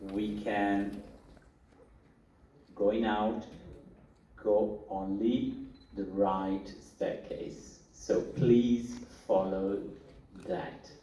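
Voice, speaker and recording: An event organizer gives the audience instructions, hesitant and full of restarts. His voice is fairly low and his pace is slow. The sound is far off and roomy, with faint noise behind. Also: steady tone, mellow. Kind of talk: informal announcements to an audience. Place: large hall at a literature event. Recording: echoey hall, far from the mic, faint noise.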